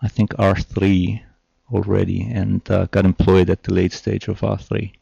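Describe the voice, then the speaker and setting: A man from Austria with an Austrian German accent, a native German speaker, answering interview questions in a measured, hesitant way with many fillers. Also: low-pitched, flat delivery, creaky, mellow, husky, no room sound, muffled recording. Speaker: interview guest, software developer.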